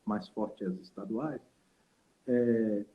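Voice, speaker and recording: A man's voice: lowish voice, fairly even intonation, slow pace, a little hiss or hum. Reflective man on a tinny call. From Salvador, Brazil. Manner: rambling, reflective, with many fillers. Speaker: guest saxophonist and teacher. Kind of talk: casual interview storytelling.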